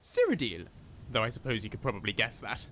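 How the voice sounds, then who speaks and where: A man's voice: medium pitch, huge pitch swings, quick pace, a bit bright, a little hiss or hum. A man playing an eager, bookish young scholar in a video game, polite, wordy and well-spoken, with a self-deprecating manner. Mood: enthusiastic. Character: eager, bookish young male scholar adventurer from a fantasy empire. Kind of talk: video game character dialogue. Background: music.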